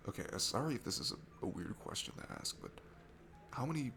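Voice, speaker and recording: A man playing a shy, insecure, sweet guy at a bar in an intimate audio roleplay, talking in a nervous, halting, apologetic ramble. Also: mid-range voice, very animated, creaky. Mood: shy, open. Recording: music behind the voice. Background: music.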